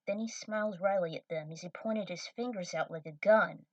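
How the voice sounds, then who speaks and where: A woman's voice: lowish voice, moderate pace, no room sound, far from the mic. A woman reading scripted story narration as the narrator.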